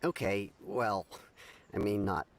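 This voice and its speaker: A man playing a mild, measured townsman in a video game, explaining things a little hesitantly. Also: fairly low pitch, fairly even intonation, creaky. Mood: gossipy, frank. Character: mild, measured townsman with a cough, sharing gossip. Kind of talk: video game dialogue acting. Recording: faint game music behind.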